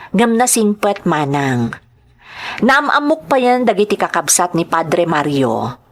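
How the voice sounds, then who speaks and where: A woman playing an earnest, troubled young household helper in a radio drama, speaking respectfully with an Ilocano accent. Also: on the low side.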